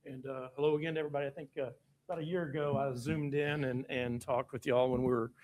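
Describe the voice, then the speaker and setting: A man, a lawyer, addressing a school board meeting in a loud, chatty way with many fillers. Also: mid-range voice, flat delivery, fast talker, poor audio.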